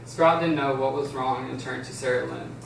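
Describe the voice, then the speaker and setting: A man's voice: medium pitch, fairly even intonation, moderate pace, gravelly. A man reading scripted stage directions aloud at a table read, sometimes stumbling and restarting. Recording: very echoey room, distant mic, background hiss.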